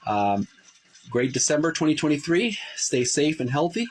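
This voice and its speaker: A man hosting his own channel, reading from a book and commenting casually, halting often with fillers and restarts. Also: on the high side, sing-song, slight background noise. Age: adult who played tape games in school.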